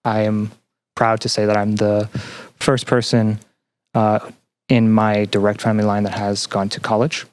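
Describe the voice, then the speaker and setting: A young man reflecting earnestly and haltingly as a guest in a podcast interview. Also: fairly low pitch, completely flat delivery, smooth, no room sound. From Canada. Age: young adult. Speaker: game developer and student.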